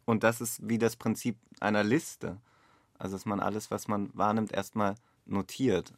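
A man's voice: fairly low pitch, little pitch movement, moderate pace, no echo, studio quality. A man speaking as a director in an interview, tentatively thinking aloud. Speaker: radio play director. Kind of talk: reflective interview talk.

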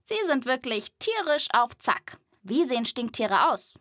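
Children's audio host, female, slightly high pitch, dry studio sound, lively scripted animal reporting. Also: measured pace, no room sound.

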